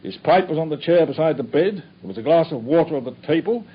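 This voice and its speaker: An actor playing a matter-of-fact, sceptical police inspector in a mid-century radio drama. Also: male voice, a little high, lively intonation, fast, slightly rough. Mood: reporting. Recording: muffled, faintly noisy old radio recording.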